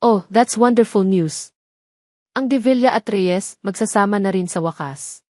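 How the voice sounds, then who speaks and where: A woman's voice: medium pitch, a little slow, darkish tone, no echo, studio quality, close to an everyday voice. Society matriarch played by a female narrator, doting yet business-minded, slips between Tagalog and English, audio drama. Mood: delighted. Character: wealthy society mother, pleased and business-minded.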